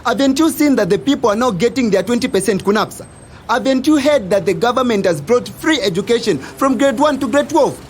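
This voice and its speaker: Actor as a high-pitched, hot-headed Zambian man. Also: sing-song, a little fast, a little hiss or hum. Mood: defensive. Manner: combative, blustering. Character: hot-headed young government loyalist, quick to threaten. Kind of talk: audio drama acting.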